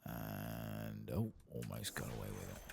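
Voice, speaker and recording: A man speaks in an extremely deep, soft voice that crackles heavily with vocal fry. He murmurs an unhurried, musing ASMR commentary over a game, sometimes slipping toward a whisper. Faint noise sits behind him. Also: big pitch swings. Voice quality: soft, hushed, breathy at times. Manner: unhurried, musing, murmuring to himself while playing. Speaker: gaming video creator.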